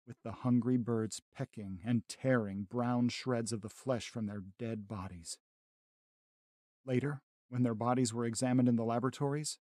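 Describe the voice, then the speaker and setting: A man's voice: mid-range voice, darkish tone, no echo, close to an everyday voice. Man acting grave radio-drama survivor, dry sound. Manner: solemn, measured, literary. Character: grave, educated male survivor recounting an alien invasion.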